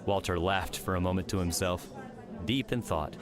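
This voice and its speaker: A man's voice: sits low, normal speed, music in the background. A man reading scripted story narration as the narrator of an audiobook.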